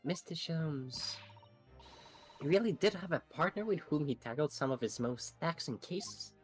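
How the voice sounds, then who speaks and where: An earnest, inquisitive young character played by an amateur in a fan-dubbed game. The delivery is lively in pitch and formally polite, with music playing underneath. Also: natural voice. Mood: curious. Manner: formal, measured wording. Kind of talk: fan dub reading of game dialogue.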